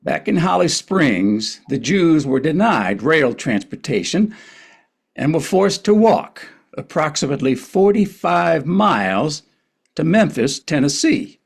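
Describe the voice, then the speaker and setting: A man's voice: on the high side, very animated, unhurried, gravelly, husky. A man narrating a historical play from a script at a table reading.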